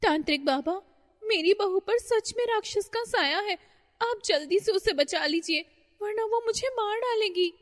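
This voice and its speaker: A woman's voice: lively intonation, moderate pace, long reverb tail. A woman voicing a fretful older mother-in-law who panics easily, in a cartoon story. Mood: panicked. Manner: shrill, panicky.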